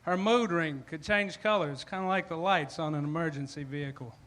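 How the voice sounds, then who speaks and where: A man doing a short stand-up set of deadpan one-liners. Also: on the high side, a little fast. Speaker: open mic comedian.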